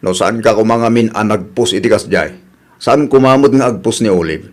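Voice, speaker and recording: A man's voice: fairly low pitch, fairly quick, lightly acted. A man with an Ilocano Filipino accent playing an exasperated husband who complains emphatically in a radio comedy drama. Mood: exasperated, scolding.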